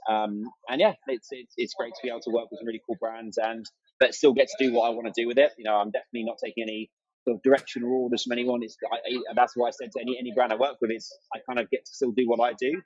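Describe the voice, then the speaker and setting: Fast-talking man, dry sound. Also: medium pitch, steady tone, a little mellow, no room sound, muffled recording. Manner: chatty and enthusiastic, acts out remembered exchanges. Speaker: interview guest, amateur runner and content creator. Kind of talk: casual podcast chat.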